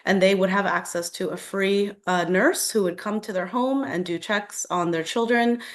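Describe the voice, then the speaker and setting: An American woman giving opening remarks on a panel as a government official, speaking haltingly with many fillers. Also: mid-range voice, steady tone. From United States, raised in Delaware. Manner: hesitant, halting, frequent fillers.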